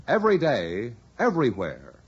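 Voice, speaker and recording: American man, vintage radio announcer, lively swooping pitch, polished sales pitch, dull old recording. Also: moderate pace, husky. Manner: polished, emphatic sales delivery. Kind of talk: scripted 1950s radio announcing and sponsor ads.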